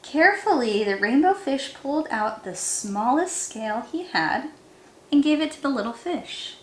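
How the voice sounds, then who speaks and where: A woman teacher reading a picture book aloud in an expressive, animated way to young listeners. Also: sits high, moderate pace, a little hiss or hum. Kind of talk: expressive children's read-aloud. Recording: roomy, echoey sound.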